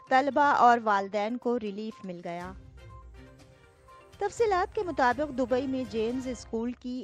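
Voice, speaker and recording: A woman presenting a scripted news bulletin in a formal, declarative newsreading manner. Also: a little high. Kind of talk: scripted news bulletin narration in Urdu register.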